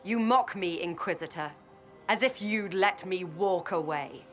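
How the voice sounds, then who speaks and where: An actress playing a proud, idealistic villainess mage in a fantasy game, speaking formally and intensely in an imperious manner. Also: female voice, fairly low pitch, unhurried, fairly bright tone, soft background music. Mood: grave resolve. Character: proud, idealistic Tevinter mage woman, betrayed villain turning on her master. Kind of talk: dramatic fantasy game acting.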